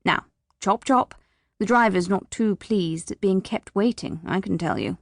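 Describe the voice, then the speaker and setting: A woman voicing a stern but kindly female teacher in a storybook, with a brisk, bossy, scolding manner. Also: mid-range voice, creaky, no room sound, clean studio sound. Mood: brisk, teasing.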